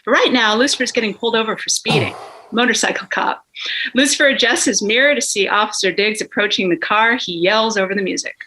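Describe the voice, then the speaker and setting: A woman's voice: mid-range voice, brisk, bright, forceful tone, a little hiss or hum, rough recording. A woman reading scripted stage directions as a dramatic narrator at a table read.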